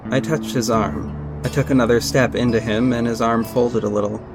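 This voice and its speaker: A man's voice: mid-range voice, steady tone, normal speed, gravelly, over music, natural voice. A man playing a confident vigilante detective who narrates his own story in an earnest, dramatic audio drama style. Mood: soft, reassuring. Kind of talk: first-person audio drama narration with acted dialogue.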